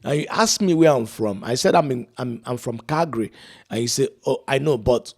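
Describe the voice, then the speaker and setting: A man's voice: medium pitch, animated, fairly quick. A middle-aged man from Africa hosting a casual discussion, talking reflectively and tagging lines with "right?" and "you know". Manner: reflective, frequent "right?" and "you know" asides, laughs while telling stories. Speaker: podcast host.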